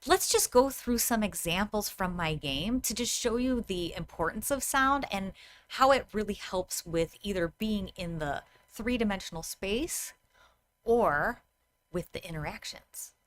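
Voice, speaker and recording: Woman, thirties, medium pitch, conversational tutorial host, explanatory delivery. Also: expressive, a little slow, a little hiss or hum. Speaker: host, VR game designer.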